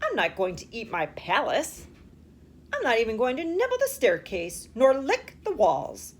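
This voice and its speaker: Indignant storybook prince, voiced by a woman, loud, defiant, foolishly stubborn, lively swings in pitch. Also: measured pace, a little hiss or hum. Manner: loud, emphatic refusals.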